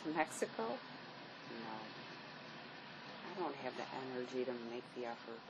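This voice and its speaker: An actress plays a weary woman full of self-loathing. Her voice sits very low, and her words come out bleak and terse. This naturalistic drama has clear background noise from the location. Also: big pitch swings, a little slow, exaggerated voice. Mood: resigned, distant. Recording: noisy location sound with ambient rumble.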